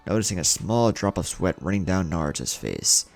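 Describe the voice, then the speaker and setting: A low-pitched man reads a story as a narrator, with a gravelly edge to his voice. His pitch moves around in a lively way, and music plays behind him. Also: moderate pace. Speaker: channel host and narrator. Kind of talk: fan fiction audiobook narration.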